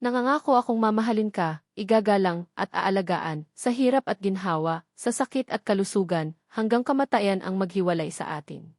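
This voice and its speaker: A tender young bride, acted out by a woman narrator in a sentimental storybook romance. She is emotional, grateful and hopeful. Her mid-pitched voice is recorded very dry. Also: normal speed, darkish tone, dry recording, lightly acted. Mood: tender, solemn.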